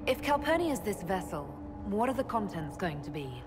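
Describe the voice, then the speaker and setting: A woman's voice: medium pitch, very animated, moderate pace, lightly acted. An actress playing a composed, direct female commander who leads agents in a fantasy game. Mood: probing. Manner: direct, questioning. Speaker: voice actor. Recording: loud music behind voice.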